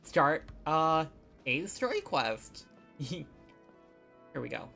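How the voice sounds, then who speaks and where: Casting: female game streamer, low voice, unhurried but swinging intonation, playful casual reactions. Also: sing-song, gravelly, over music. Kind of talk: casual live game commentary, reading along and reacting.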